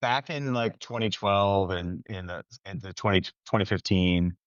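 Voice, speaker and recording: A man's voice: low-pitched, normal speed, no echo, dull audio. A man hosting a podcast, asking interview questions casually with searching phrasing. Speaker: podcast host.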